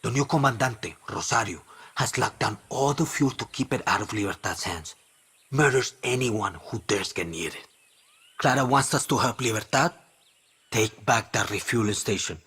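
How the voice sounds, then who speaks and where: An earnest rebel elder, his voice low, bright and rough. He speaks gravely and plainly. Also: lively intonation, normal speed, heavily acted.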